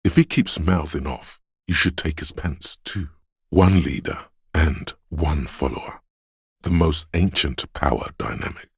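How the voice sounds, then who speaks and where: A commanding inner voice of authority for a video game, extremely deep in pitch and very smooth. The delivery is haughty, pompous and measured, like a stern narrator who expects to be obeyed. The recording is close and dry. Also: male voice, no echo, heavily acted.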